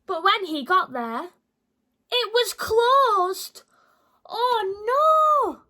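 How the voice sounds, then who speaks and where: A woman reading a children's picture book aloud in an expressive, teacherly way. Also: very high-pitched, drawn-out words. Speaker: children's story reader.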